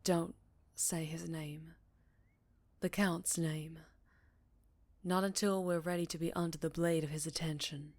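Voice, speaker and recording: A woman playing a centuries-old vampire leader, speaking softly and breathily in an intimate ASMR roleplay. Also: sits low, little pitch movement, dry recording. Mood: grave, cautious. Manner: soft, breathy, measured, with whispered phrases. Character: centuries-old female vampire town leader, secretive, wistful, tender.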